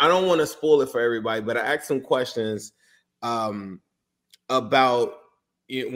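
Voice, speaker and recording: A man's voice: medium pitch, a bit bright. Eager rambling podcast host man. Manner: enthusiastic, rambling questions with many fillers. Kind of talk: casual podcast interview.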